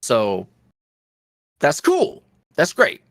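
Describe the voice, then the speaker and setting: A man guest on a podcast, an ASMR roleplay creator, talking candidly in a rambling, filler-heavy way that swings from lively bursts to low mutters. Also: extremely slow, no room sound, studio quality. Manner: swings between animated highs and low mutters. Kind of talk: casual interview chat.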